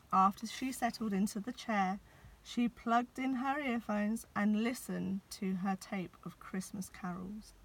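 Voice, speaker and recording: A woman reading a children's picture book like a teacher, expressive, with dramatic pauses, over background noise. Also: medium pitch, gravelly, poor audio. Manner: dramatic pauses, addresses young listeners.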